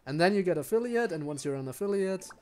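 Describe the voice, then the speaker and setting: A man's voice: high-pitched, lively intonation, fast, darkish tone. A man giving casual livestream commentary as a game streamer, explaining things in a hesitant, rambling way. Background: faint music.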